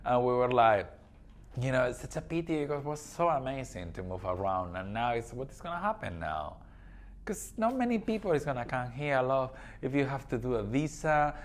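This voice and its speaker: A man's voice: mid-range voice, a little fast. A man from Spain with a Spanish accent, co-hosting a casual podcast chat and telling stories emphatically. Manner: animated, emphatic storyteller. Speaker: podcast co-host.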